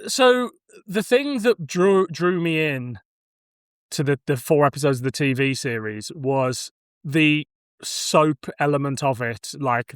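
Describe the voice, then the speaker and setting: A man's voice: on the high side, fairly bright tone, smooth, no echo, studio quality. A man talking casually and reflectively as he reviews film and television. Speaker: podcast co-reviewer.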